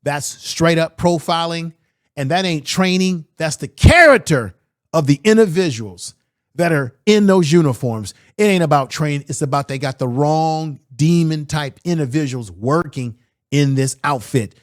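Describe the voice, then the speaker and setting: A man reacts to clips with blunt, fiery commentary and plenty of slang. His voice sits slightly high, and the sound is dry and close with no room echo. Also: unhurried. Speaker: political commentary host.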